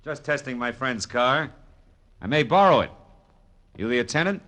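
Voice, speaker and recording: An actor playing a tough, cool private detective with a wry, clipped manner in a 1940s-style radio noir. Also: male voice, medium pitch, lively intonation, moderate pace, fairly bright tone, natural voice. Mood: casual, wry. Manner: hard-boiled, wry, clipped. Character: tough, cool male private detective narrating his case. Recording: vintage radio broadcast recording.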